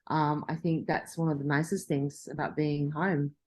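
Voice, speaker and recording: A woman's voice: deep voice, flat delivery, moderate pace, slightly rough. A middle-aged woman, a journalist, talking casually in an interview with frequent restarts. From Australia. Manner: chatty, frequent restarts and laughter. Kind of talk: interview conversation.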